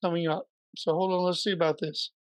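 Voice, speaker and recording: A man co-hosting a podcast, slightly hoarse and raspy, telling a story in a chatty way with joking asides. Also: a little high, flat delivery, a little fast, no echo. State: a little hoarse. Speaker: podcast co-host. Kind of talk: casual podcast chat.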